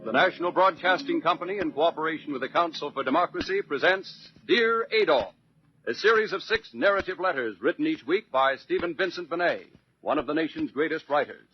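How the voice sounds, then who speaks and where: Male American radio announcer, 1940s style: formal, measured, slightly high and rough-edged voice, lo-fi broadcast sound. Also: lively intonation, faint music behind. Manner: measured, declamatory. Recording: dull vintage 1940s radio recording.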